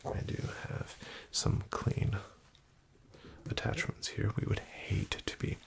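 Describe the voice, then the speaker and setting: A man whispering as a formal, dryly ironic doctor in a soft-spoken ASMR roleplay. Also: takes it slowly.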